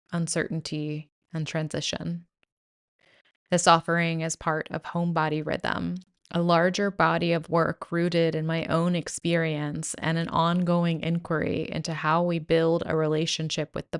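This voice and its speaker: A woman guiding a yoga nidra meditation in a soothing, gentle, measured way with pauses. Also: low voice, vocal fry, clear, resonant tone. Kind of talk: guided meditation narration.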